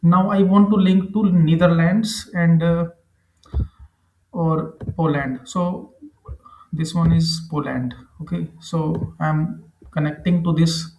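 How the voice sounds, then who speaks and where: The voice is recorded in an echoey room. It is a man with an Indian accent and a fairly high pitch, presenting a software tutorial. He explains step by step, halting and repeating himself as he goes. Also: fairly even intonation. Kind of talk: screen-recorded tutorial narration.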